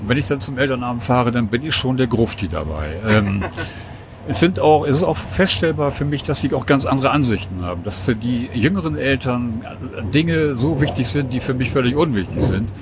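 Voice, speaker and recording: A man's voice: medium pitch, expressive, moderate pace, background noise. A man in his sixties, a guest, talking candidly and thoughtfully about late fatherhood in casual conversation on a train. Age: 60s. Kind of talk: casual chat.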